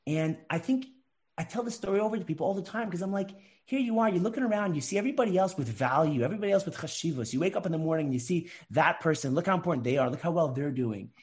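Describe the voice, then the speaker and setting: A man telling a story in an animated way, often repeating words as he speaks. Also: on the high side, fast. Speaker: guest speaker. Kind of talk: storytelling talk.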